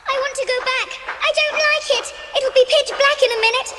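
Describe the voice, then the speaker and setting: A woman's voice: very high voice, fairly quick, fairly bright tone. A woman playing a whiny, easily frightened little sister with an English accent in a dramatised audiobook. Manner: petulant, dramatic, plaintive.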